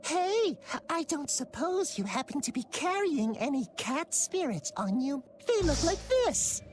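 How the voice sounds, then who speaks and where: A woman's voice: high-pitched, big pitch swings, measured pace, a little hiss or hum. A woman voicing a small, cute, chatty female cat creature in a video game, perky and pleading in manner. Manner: cutesy, perky, cat puns and meows. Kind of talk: cartoonish video game voice acting.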